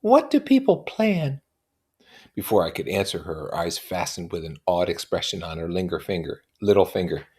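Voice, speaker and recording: Deep, husky man reading aloud. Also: steady tone, fairly quick, hardly any pauses, darkish tone. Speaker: reader and commentator. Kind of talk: reading a novel aloud with informal comments.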